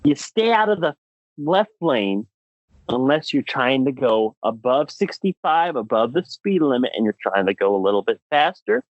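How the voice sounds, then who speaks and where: A man's voice: medium pitch, sing-song, normal speed, no room sound. Young American man, podcast co-host, emphatic and exasperated comic ranter, swooping intonation, dry dull recording. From United States. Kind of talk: comedic rant on a podcast.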